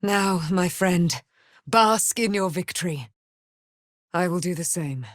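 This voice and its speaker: Casting: a proud immortal female warrior of divine birth in a fantasy game, declamatory and archaic, measured pace, close dry sound. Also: fairly low pitch, no echo. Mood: solemn. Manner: grandiose, archaic, declamatory diction. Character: proud immortal warrior daughter of a moon goddess. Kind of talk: theatrical fantasy game acting.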